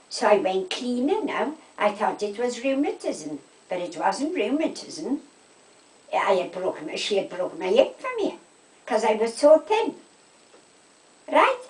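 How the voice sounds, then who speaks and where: A woman's voice: medium pitch, a little mellow. Elderly Welsh Valleys woman, roomy distant recording. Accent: South Wales Valleys. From Wales. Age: 80s. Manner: chatty, emphatic, repeats reported conversations with "I said". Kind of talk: casual chat, anecdotal storytelling. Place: indoors at a home.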